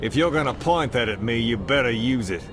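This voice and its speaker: Man as a blunt, lively-pitched squad soldier. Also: brisk, over loud music, lightly acted. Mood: defiant. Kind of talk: dramatic video game acting.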